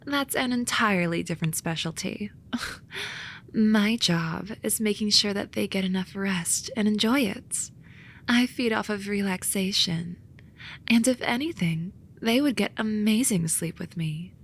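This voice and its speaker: A woman voicing a soothing but snarky sloth demon in a romantic ASMR roleplay, soft and coaxing with a dry edge. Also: mid-range voice, unhurried, a little hiss or hum, natural voice. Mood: defensive. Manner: soft, coaxing, dryly sarcastic, sometimes whispery.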